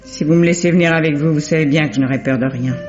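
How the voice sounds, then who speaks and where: A woman's voice: low-pitched, fairly even intonation, quick pace, lightly acted. An actress playing a brave, devoted woman sleuth in a French radio drama, speaking earnestly and with emotion. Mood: resolute, tender. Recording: muffled old radio recording, faint music.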